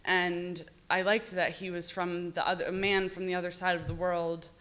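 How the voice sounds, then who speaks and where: A woman's voice: on the low side, flat delivery, normal speed, fairly bright tone. An American woman novelist talking informally about her own work, candid and rambling, often saying "like". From New York City, United States. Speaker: novelist discussing own book. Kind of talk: informal author talk.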